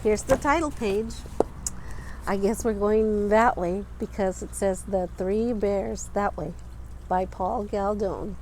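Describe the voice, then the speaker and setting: A woman reading a children's picture book aloud, expressively and deliberately, stressing words for young listeners. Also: medium pitch, a little mellow, a little hiss or hum, low-quality recording. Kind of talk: children's storybook read-aloud with asides about the pictures.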